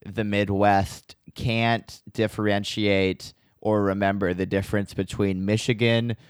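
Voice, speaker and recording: A man's voice: fairly low pitch, little pitch movement, a little slow, clean tone, dry recording, studio quality. A man chatting casually on a podcast, making wry jokes. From Minnesota. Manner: joking, wry asides. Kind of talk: casual chat.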